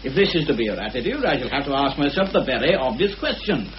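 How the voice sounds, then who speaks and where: Man playing a shrewd radio detective, dry n sceptical, pitch moves about a lot, muffled hissy old recording. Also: big pitch swings, fast talker, lightly acted. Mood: sceptical, insistent. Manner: dryly ironic, probing questioning. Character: shrewd, sceptical male police inspector, probing and dryly ironic. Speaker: actor in a radio play. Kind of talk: radio murder mystery acting.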